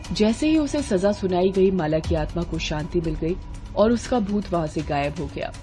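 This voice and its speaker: A woman's voice: on the low side, warm tone. A woman narrating a children's horror tale in a dramatic, scripted storytelling style.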